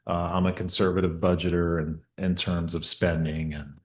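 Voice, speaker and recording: A man speaking as mayor at a public budget hearing, halting with many fillers and restarts, a bit far from his table mic. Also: deep voice, level tone, a bit bright. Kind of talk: public meeting remarks. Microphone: table mic at some distance.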